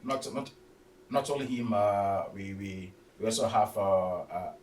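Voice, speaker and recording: Halting, stammering man in an echoey room. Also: fairly low pitch, darkish tone. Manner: halting, stammering, repeating words. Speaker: football discussion panellist. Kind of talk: unscripted sports discussion. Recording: roomy echo, distant mic, faint noise.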